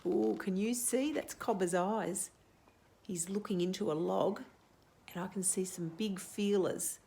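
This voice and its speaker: A woman's voice: mid-range voice, a little slow, vocal fry, warm tone. A woman hosting a children's storytime, reading a picture book and explaining it simply with questions for young listeners. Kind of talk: storybook read-aloud with asides to young children.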